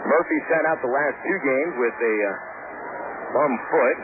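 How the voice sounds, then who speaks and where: A man doing radio play-by-play for a baseball game, with a steady, conversational call and the odd filler. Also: medium pitch, a little slow. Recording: tinny lo-fi radio broadcast with loud hiss.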